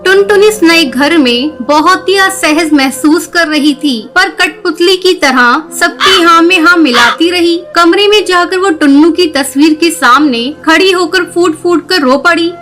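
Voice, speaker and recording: A woman's voice: very high-pitched, measured pace, hardly any pauses, a bit bright. A woman storyteller delivering scripted, dramatic narration for a story. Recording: clearly noisy background.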